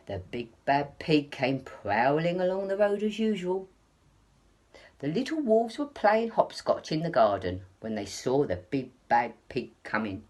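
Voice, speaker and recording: A woman's voice: fairly low pitch, darkish tone. A woman reading a children's storybook aloud in an emphatic, dramatic way. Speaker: story reader.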